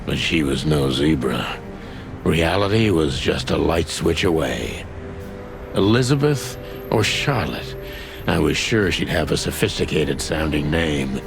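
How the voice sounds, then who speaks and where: An actor playing a weary, cynical aging rooster detective in a noir game, gravelly and deadpan. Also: male voice, rumbling low, measured pace, exaggerated voice. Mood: wry, brooding. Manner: world-weary, deadpan, hardboiled. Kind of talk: noir first-person narration. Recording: studio voice under loud music.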